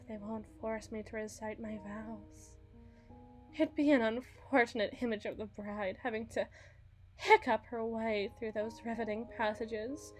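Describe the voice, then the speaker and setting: Actress as bitter, lovesick bride for audio roleplay; high female voice, unhurried, theatrical and emotional, faint music underneath. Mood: weary, bitter. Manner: theatrical, emotional, sighing, drawn-out delivery. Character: desperate, bitter young runaway bride, lovesick and obsessive. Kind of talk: ASMR roleplay monologue, dramatic acting.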